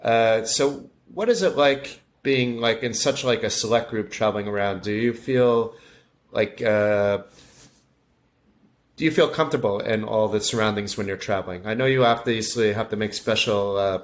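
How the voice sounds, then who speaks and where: A man hosting a casual interview, asking questions in a relaxed, conversational way. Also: fairly low pitch, moderate pace. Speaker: interview host.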